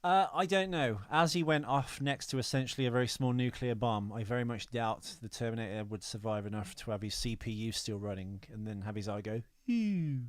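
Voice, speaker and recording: A man's voice: medium pitch, a little fast, hardly any pauses, dry recording. Man in casual podcast chat, dry sound. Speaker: podcast co-host. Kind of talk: casual film discussion.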